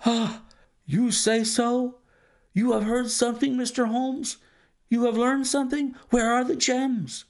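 Frantic older banker, high, lively pleading voice, a little gravelly, as a man puts him on. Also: measured pace, exaggerated voice. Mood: anxious. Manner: anxious, pleading, breathless exclamations.

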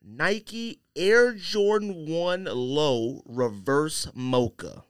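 A man's voice: a little high, very slow, smooth, no room sound, clean studio sound. A man hosting a casual sneaker podcast, teasing his friends in slangy banter. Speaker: podcast host.

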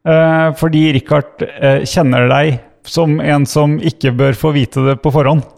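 A man's voice: a little high, steady tone, a little slow, gravelly, darkish tone. A man co-hosting a podcast, speaking Norwegian in a hesitant way with many fillers. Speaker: podcast co-host. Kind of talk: casual chat. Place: chocolate factory.

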